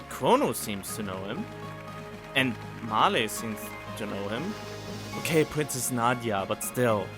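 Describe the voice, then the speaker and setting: A man giving casual reaction commentary on game cutscenes, puzzled and thinking aloud as he goes. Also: mid-range voice. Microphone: studio condenser microphone. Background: music from game cutscenes.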